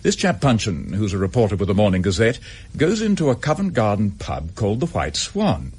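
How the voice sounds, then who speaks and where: Give the radio play a dutiful English chief inspector. His voice is husky and moves freely in pitch. He talks in a clipped, businesslike way, with a frustrated edge of duty. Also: big pitch swings, brisk, a little mellow. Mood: explaining, thoughtful. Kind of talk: radio drama dialogue.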